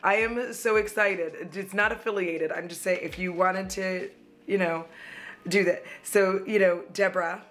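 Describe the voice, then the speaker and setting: A young woman with a drawl talks through a makeup session at home, rambling happily and breaking into laughs. Her voice is a little hoarse, as she is losing it, and she keeps up a self-deprecating, casual flow. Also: medium pitch, fairly even intonation, measured pace, a bit bright. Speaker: beauty vlogger. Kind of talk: casual chat while doing makeup. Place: at home, indoors.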